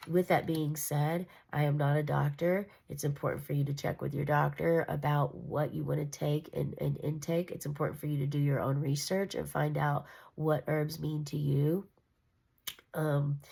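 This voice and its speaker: A woman's voice: low-pitched, level tone, normal speed, creaky, darkish tone, breathy edge. A congested, nasal woman giving a casual, meandering tarot reading. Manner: meandering, reassuring, with fillers and restarts. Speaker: tarot reader.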